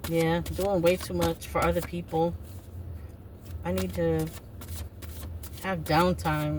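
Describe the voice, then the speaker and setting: Woman, 50s, card reader. Lowish voice, chatty and rambling, a little far from the mic with faint hiss. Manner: rambling, self-interrupting, chatty. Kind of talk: casual spiritual card reading. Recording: distant mic with faint noise.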